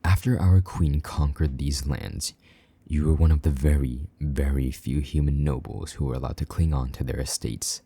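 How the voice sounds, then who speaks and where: A possessive male vampire knight, acted by a man for intimate audio roleplay. The voice is rock-bottom deep and very mellow, with a breathy husk that drifts toward whispering. He speaks with condescending, jealous menace, close and dry. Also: dry recording, exaggerated voice. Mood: commanding. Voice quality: husky, breathy, half-whispered at times. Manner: condescending, slow-burning menace, mock-sweet endearments.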